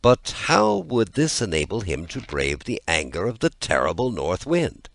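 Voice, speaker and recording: A man with a low voice narrates a fairy tale, his intonation rising and falling freely. The delivery is measured and expressive, and the sound is dry and close, with very little room. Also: creaky, no echo. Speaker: audiobook narrator.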